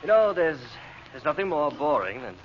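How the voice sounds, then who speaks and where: An actor playing a suave, flattering foreign correspondent in an old-time radio drama. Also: male voice, fairly high pitch, normal speed. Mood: affable. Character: Gordon Douglas, smooth flirtatious foreign correspondent, secretly villain's agent. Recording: dull, noisy old radio recording.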